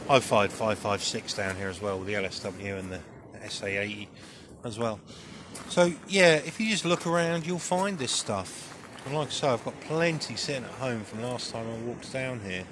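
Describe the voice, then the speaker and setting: British man musing amid outdoor noise. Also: medium pitch. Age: middle-aged. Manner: halting, musing as he searches. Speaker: vlogger. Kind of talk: casual off-the-cuff commentary while exploring. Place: outdoors on a beach below cliffs.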